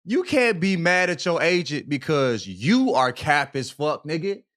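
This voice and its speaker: A man's voice: on the high side, big pitch swings, measured pace, fairly bright tone. An American man co-hosting a podcast with casual, slangy, profane and emphatic banter. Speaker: podcast co-host.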